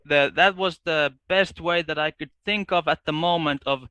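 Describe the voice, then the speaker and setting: Finnish man, podcast guest, halting delivery, bright tense voice, dry close mic. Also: a little high, smooth, no echo. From Finland. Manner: halting, many restarts and fillers. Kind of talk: casual chat.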